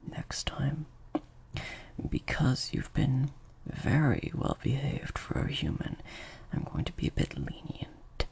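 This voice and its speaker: A man acts out a sadistic vampire master in an intimate audio roleplay. His voice is gravelly with heavy fry and often sinks toward a whisper. He speaks slowly and deliberately, coldly menacing and in full control of his captive. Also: mid-range voice. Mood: sadistic, calm. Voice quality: breathy, creaky half-whisper. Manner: slow, deliberate, hushed delivery with drawn-out pauses. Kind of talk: intimate improvised audio roleplay addressed to the listener.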